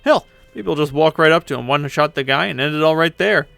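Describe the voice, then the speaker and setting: A man's voice: on the high side, fast, creaky, sharp tone, clean tone, no room sound, soft background music. A man voicing a brash, sarcastic, boastful female pirate ghost in a dramatic story reading. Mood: cocky. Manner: impatient, sarcastic, swearing.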